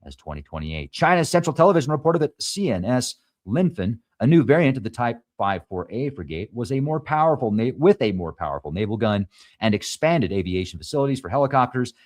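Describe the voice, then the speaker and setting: A man who hosts a show reads news stories aloud and adds quick asides. English is not his first language, and he sometimes stumbles over place names. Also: medium pitch, sing-song. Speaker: show host. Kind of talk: reading news headlines with commentary.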